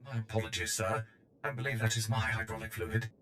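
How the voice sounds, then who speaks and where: A man's voice: on the low side, completely flat delivery, fairly quick, a bit bright, slight background noise, lightly acted. A man voicing a courteous, philosophical droid servant in a video game, formal and polite. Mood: apologetic.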